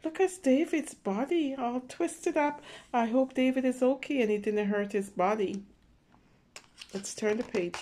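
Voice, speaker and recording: A woman reading a picture book aloud with simple, expressive commentary for young children, exclaiming and checking in with her listeners. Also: a little high, unhurried, a little hiss or hum. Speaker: children's story reader.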